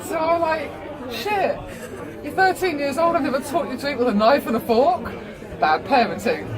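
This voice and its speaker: A woman's voice: sits high, expressive, normal speed. A middle-aged woman telling family stories casually, acting out bits of dialogue and swearing freely. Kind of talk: casual animated storytelling. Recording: busy public room chatter.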